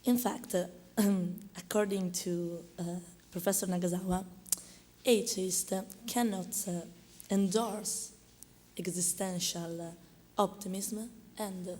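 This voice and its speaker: Hoarse Italian woman, slow and halting. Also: mid-range voice, darkish tone, a little hiss or hum. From Italy. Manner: hesitant, many fillers and restarts. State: hoarse from a cold. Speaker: conference respondent. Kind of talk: academic conference response.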